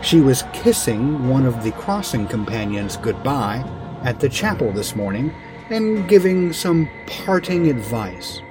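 A man narrating a comic fantasy story in a theatrical, deliberate dramatic-reading style. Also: medium pitch, big pitch swings, over music.

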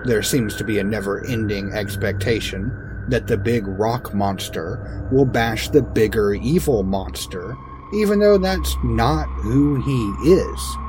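A man narrating a comic fantasy story in a theatrical, deliberate dramatic-reading style. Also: on the low side, music in the background, low-quality recording.

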